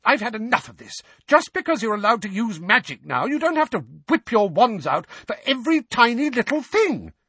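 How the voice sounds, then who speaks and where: A man voicing an exasperated middle-aged mother, shrill and scolding her sons in a storybook reading. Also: big pitch swings, brisk, few pauses, sharp tone, slightly rough, no echo, heavily acted. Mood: shrieking, furious.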